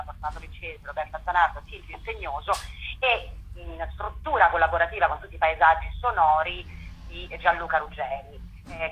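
Curator, woman, lowish voice, halting and rambling, dull lo-fi line. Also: bright, forceful tone. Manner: rambling, repeats words, many fillers. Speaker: theatre project curator. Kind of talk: radio interview.

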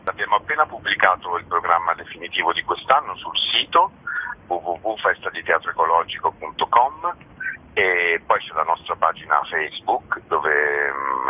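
Very deep-voiced man on a noisy phone. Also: moderate pace. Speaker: festival co-director, interview guest. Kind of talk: casual phone interview. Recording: tinny phone line with slight background noise.

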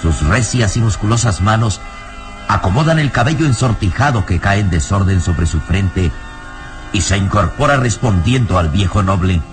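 A man's voice: fairly low pitch, lively intonation, normal speed. A man narrating a vintage radio serial in a dramatic, scripted storytelling style. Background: music.

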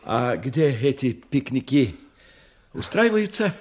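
Slow, husky, menacing crime boss. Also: male voice, medium pitch, sing-song. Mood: sharp, suspicious. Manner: bullying, interrogating, repeats himself broodingly. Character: menacing, impatient male crime boss, condescending and suspicious. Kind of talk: Russian radio drama acting.

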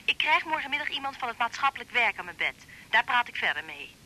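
A woman's voice: fairly high pitch, big pitch swings, fast, sharp tone, full character voice, low-quality recording. An actress with a Netherlands Dutch accent playing a spiteful, fickle young woman in a radio drama, curt, taunting and defiant. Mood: mocking, spiteful.

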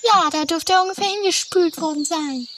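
A woman's voice: very high voice, a little fast, fairly bright tone. A woman playing a flippant, mischievous, mock-innocent oddball who stammers breezily in a trashy comedy radio play. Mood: sheepish. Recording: slightly noisy 1980s amateur radio play.

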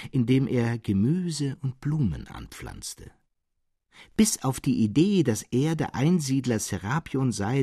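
A man with a standard German accent reads a literary story for radio in an expressive, carefully phrased storytelling manner. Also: mid-range voice, breathy edge, no room sound, clean studio sound. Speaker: audiobook narrator.

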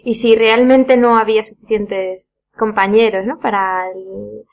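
Woman, medium pitch, casual storytelling, podcast chat. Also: fairly even intonation, a little slow. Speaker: podcast panelist.

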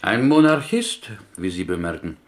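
A man acting a pious, gentle, wryly plaintive small-town prisoner from Galicia in a German radio play, speaking in deliberate, melodic, inverted sentences. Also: deep voice, sing-song. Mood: dry, resigned. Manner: deliberate, melodic phrasing with inverted word order.

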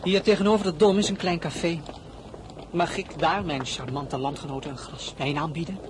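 An actor playing a courteous young crown prince with formal, charming manners. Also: male voice, a little high, moderate pace, noisy background, lightly acted. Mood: gallant. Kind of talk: radio play acting.

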